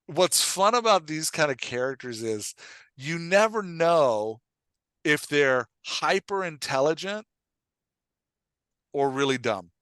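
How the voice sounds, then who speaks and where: A man hosting and coaching in an animated, conversational way, emphatic and often checking that he is being understood. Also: on the high side, takes it slowly, long pauses, clear, resonant tone, dry recording. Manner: acts out short example lines of dialogue. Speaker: podcast host and writing coach.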